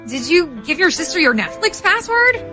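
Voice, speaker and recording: A woman's voice: very high voice, big pitch swings, normal speed, pushed and bright, faint music behind. A woman co-hosting a radio show in casual, chatty conversation.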